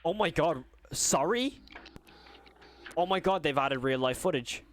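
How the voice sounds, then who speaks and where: A man's voice: a little high, lively intonation, unhurried, a little hiss or hum. A man giving casual reaction commentary, informal and exclamatory, often repeating himself. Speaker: host of horror reaction channel.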